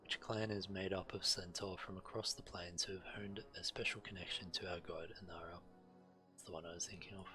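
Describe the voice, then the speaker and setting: A man's voice: low voice, little pitch movement, brisk, sharp tone. A man streaming a game, reading quest text aloud and sometimes stumbling over the words. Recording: game music behind the voice. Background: video game music.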